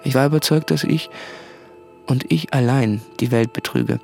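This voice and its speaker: An actor playing a brooding, tormented diarist in a radio play, confessing in an introspective, halting monologue. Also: male voice, mid-range voice, vocal fry, a little mellow, soft background music, lightly acted. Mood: haunted.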